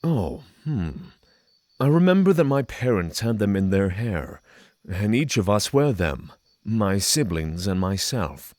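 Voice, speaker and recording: Male actor as cautious fantasy pilgrim, expressive melody, formal, hesitant, reflective, close dry sound. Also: lowish voice, no room sound. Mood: thoughtful. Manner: formal, hesitant, thoughtful pauses. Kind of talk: fantasy audio drama acting.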